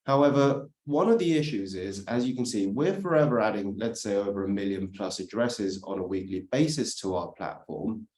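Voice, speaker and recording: A man's voice: on the low side, darkish tone. British man presenting in an echoey room. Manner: explanatory, frequent "let's say" and "for instance". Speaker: conference speaker. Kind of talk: presentation talk.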